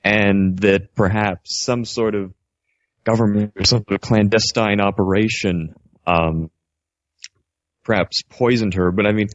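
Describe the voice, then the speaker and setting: A man's voice: on the low side, steady tone, a little slow, no echo. A man from Canada calling a radio show over the internet, explaining things in a casual, polite, tentative way. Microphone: internet voice call. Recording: dull, lo-fi internet call audio.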